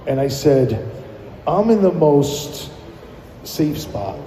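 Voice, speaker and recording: A man with a deep, mellow tone tells stories in a loose, rambling way, full of fillers and restarts. He is slightly high-pitched for a man. The sound is roomy, with a long reverb tail. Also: slow, slight background noise. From New Jersey. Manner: rambling, animated storyteller with many fillers. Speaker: guest musician. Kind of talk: casual radio interview storytelling. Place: live broadcast at a plaza venue. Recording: echoey live room with long reverb.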